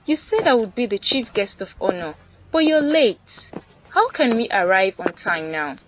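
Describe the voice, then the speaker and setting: A woman's voice: medium pitch, sing-song, normal speed, highs cut off, a little hiss or hum, close to an everyday voice. A woman voicing a proud, impatient male elephant in a folktale, indignant and reproachful. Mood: agitated.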